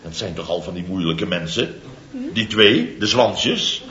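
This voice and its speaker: A man's voice: sing-song, unhurried, a bit bright, full character voice. A blustering pompous boss in his fifties. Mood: grumbling. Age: 50s. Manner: bombastic, theatrical, swinging from booming to muttering. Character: pompous blustering fifty-something male building-firm boss. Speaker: company boss. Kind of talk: 1960s radio comedy acting. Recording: dull, roomy vintage radio recording.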